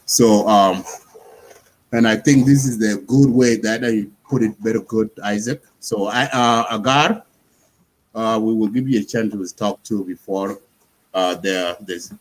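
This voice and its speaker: A man's voice: lowish voice, fairly even intonation, a little slow, poor audio. A man from Sudan speaking in a casual panel discussion, explaining at length and often checking in with "you see?". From South Sudan.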